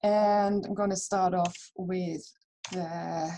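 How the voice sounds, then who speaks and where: A woman's voice: lowish voice, fairly even intonation, takes it slowly, warm tone, slight background noise. A woman from Switzerland presenting a webinar over a video call, in a friendly, chatty way with fillers and restarts. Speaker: herbal dispensary founder presenting a webinar.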